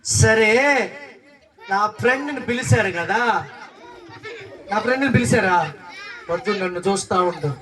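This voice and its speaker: A woman's voice: medium pitch, normal speed, pauses often, fairly bright tone. A woman presenting a children's puppet show with playful banter and teasing mock scolding. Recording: distant mic, noisy crowd of children. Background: crowd of children.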